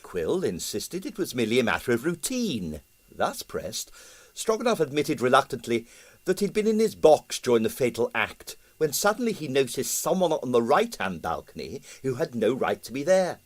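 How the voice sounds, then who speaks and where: A man narrating a comic novel as an audiobook, with dry, expressive storytelling. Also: mid-range voice, moderate pace.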